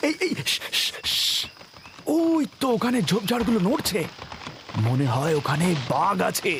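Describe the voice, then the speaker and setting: A man's voice: high voice, wildly swooping pitch, normal speed, background noise, heavily acted. A man voicing an eager, persuasive safari guide in a comic cartoon, theatrical, emphatic and full of suspense. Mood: hushed suspense. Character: eager, persuasive male safari guide telling tall tales. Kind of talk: exaggerated comic cartoon dub acting. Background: music.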